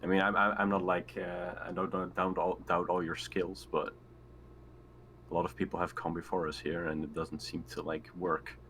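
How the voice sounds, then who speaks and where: A man playing a tabletop game, chatting casually in a halting, hesitant way. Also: low voice, flat delivery, fairly bright tone, slight background noise. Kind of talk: casual chat.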